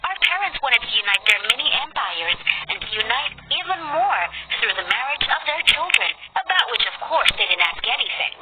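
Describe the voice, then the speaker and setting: A woman's voice: high-pitched, big pitch swings, brisk, hardly any pauses, very noisy. A young woman reading a scripted first-person cartoon story in a dramatic, exclamatory way. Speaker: story narrator. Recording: loud music under the voice. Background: loud music.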